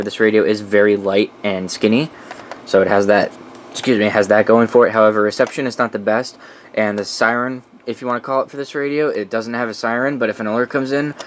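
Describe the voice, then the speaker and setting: Man, lower-pitched, informal hobbyist walkthrough, blunt opinions and swearing, faint noise behind. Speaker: radio collector presenting a collection. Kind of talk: casual unscripted product walkthrough.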